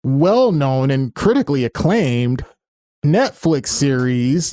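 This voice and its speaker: A man hosting a casual interview, asking friendly, quick questions. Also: fairly high pitch, darkish tone, dry recording, studio quality. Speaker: interview host.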